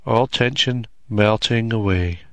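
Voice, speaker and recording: A man guiding a relaxation meditation in slow, soothing, deliberate phrases with long pauses between them. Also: fairly low pitch, fairly bright tone, no room sound. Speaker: meditation guide. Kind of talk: guided relaxation meditation narration.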